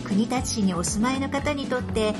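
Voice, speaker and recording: A woman's voice: a little high, moderate pace. A woman reading scripted narration for an educational storytelling and explainer video. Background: music.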